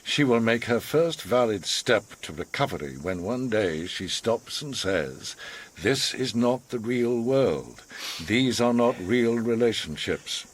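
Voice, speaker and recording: An actor playing a manipulative, eccentric older man in a radio drama, measured, formal and theatrically commanding. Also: medium pitch, expressive. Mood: measured, knowing. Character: manipulative, eccentric, authoritative older male host, a self-styled psychiatrist.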